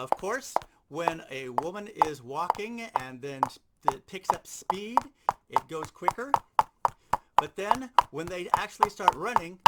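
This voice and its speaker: A man's voice: high-pitched, very noisy. A man giving an informal instructional demonstration, explaining things step by step. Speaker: sound effects instructor.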